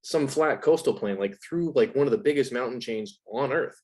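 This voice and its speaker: A man's voice: mid-range voice, expressive, moderate pace, a little mellow. A man talking as an interview guest, explaining ideas in a casual, conversational way.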